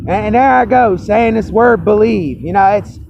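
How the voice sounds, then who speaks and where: Hoarse guy ranting to camera, voice cracking, pretty high and gravelly, kinda muffled with a faint hiss. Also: normal speed. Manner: fired-up, repetitive, swearing. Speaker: vlogger. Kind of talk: angry personal rant to camera.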